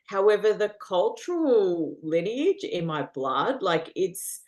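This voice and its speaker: A woman's voice: mid-range voice, very animated, slow pace, a little mellow. An Australian woman, a guest in a casual interview, talking earnestly with lots of fillers and frequent laughter.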